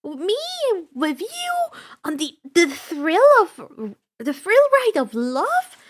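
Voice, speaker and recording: A woman voicing a bossy, excitable young girl adventurer in a story, exclamatory and easily flustered. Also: high voice, takes it slowly, pitched above the performer's own voice, heavily acted. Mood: flustered, indignant. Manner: exclamatory, exasperated.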